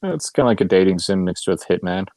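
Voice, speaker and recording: A man with a low voice chats casually on a podcast. His sound is very dry, with hardly any room in it, and his delivery is that of someone talking among friends. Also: expressive, fast talker, a little mellow, dry recording. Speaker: podcast co-host.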